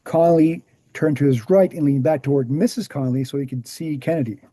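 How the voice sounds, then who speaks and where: A man's voice: a little high, a little fast, mellow, no echo, natural voice. A man reading the part of a confident, didactic explainer in scripted dialogue. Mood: explanatory. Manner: didactic, assured. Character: Gary, confident explanatory man debunking assassination myths. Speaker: actor in a screenplay table read.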